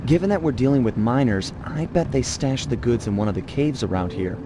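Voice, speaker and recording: A man's voice: mid-range voice, expressive, fairly quick, noisy background, natural voice. A man voicing a confident, wry raccoon thief, a cool adventurer in a cartoon video game. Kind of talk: animated video game dialogue. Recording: echoey, distant, music behind.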